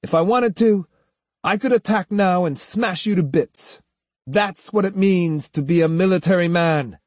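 A man's voice: high-pitched, a little slow, no room sound, voice pushed up. A haughty, sneering swordsman as a man narrating an audiobook acts him out. He speaks in a raised, boastful voice, lecturing and taunting with pointed questions. The sound is close and dry. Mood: scornful, triumphant. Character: arrogant swaggering master swordsman, boastful and sneering.

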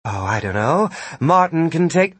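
Man playing firm heroine, raised pitch. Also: sing-song, moderate pace, a bit bright, breathy edge, no echo, heavily acted. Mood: casual. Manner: direct, confrontational. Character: firm, plainspoken heroine, principled and challenging.

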